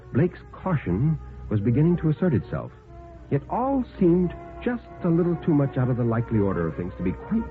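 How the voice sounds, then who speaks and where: A man narrating a scripted 1950s radio horror story in a dramatic, deliberate manner. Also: mid-range voice. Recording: tinny, narrow-band 1950s radio recording. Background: background music.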